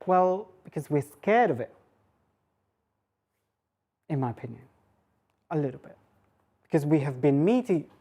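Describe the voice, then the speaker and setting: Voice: medium pitch, lively intonation, unhurried, pauses often, warm tone. A woman lecturer giving an academic public talk, mixing scholarly phrasing with informal asides.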